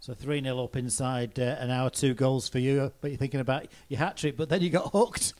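A man in a mid-range voice puts questions to a footballer after the match. He recaps the play at length and pauses on small fillers. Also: medium pitch, fairly even intonation, a little mellow. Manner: summarising play, hesitant fillers. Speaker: club TV interviewer. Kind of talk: post-match interview questions.

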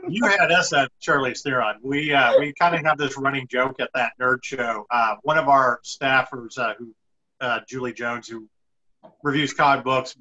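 A man's voice: medium pitch, steady tone, normal speed, sharp tone. A middle-aged man hosting an interview, chatting casually over a video call. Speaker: interviewer, show host. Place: indoor room on a video call. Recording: slightly dull video-call audio.

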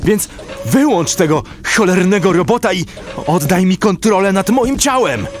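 A man's voice: high-pitched, expressive, normal speed, music in the background. A man playing a defiant, distraught commando in a sci-fi audio drama, urgent and emphatic in delivery. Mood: pleading, insistent. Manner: urgent, emphatic, broken exclamations. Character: defiant, distraught male commando trapped in his body.

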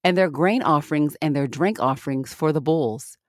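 A woman reads scripture aloud in a formal, deliberate, measured manner with clear phrasing. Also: low-pitched, dry recording, studio quality. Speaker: podcast scripture reader.

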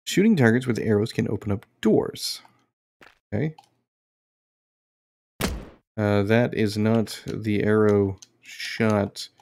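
A man's voice: on the low side, slow pace, long pauses, a little mellow. A man giving casual, understated let's play commentary, musing aloud as he plays. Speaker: gaming video host. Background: game music behind the voice.

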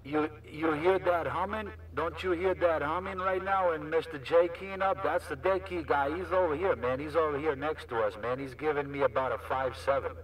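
Distant, higher-pitched man on CB radio. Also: normal speed, hardly any pauses, crowd noise. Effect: CB radio transmission. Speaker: CB radio operator. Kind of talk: casual CB radio chat. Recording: distant-sounding CB radio reception.

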